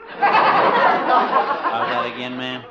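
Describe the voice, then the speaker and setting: Jokey country fellow, rural American drawl, hugely animated pitch, very noisy old radio recording. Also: male voice, extremely slow, muffled recording, a crowd in the background, full character voice. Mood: amused. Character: slow, teasing folksy country pal. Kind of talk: 1950s radio comedy acting.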